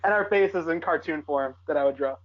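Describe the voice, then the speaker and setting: A woman's voice: low-pitched, a little fast. Woman co-hosting in dull lo-fi audio. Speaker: podcast co-host. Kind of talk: casual chat.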